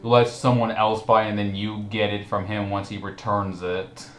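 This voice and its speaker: A man's voice: lowish voice, level tone, moderate pace. A man hosting a casual group commentary chat, talking informally with friends. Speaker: host. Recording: roomy echo with some background noise.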